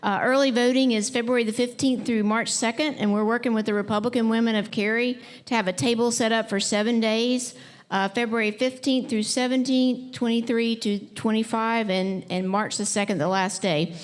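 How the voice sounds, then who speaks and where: A woman's voice: fairly high pitch, steady tone, moderate pace. A woman hosting an event makes informal announcements in a conversational, unscripted way. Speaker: event host.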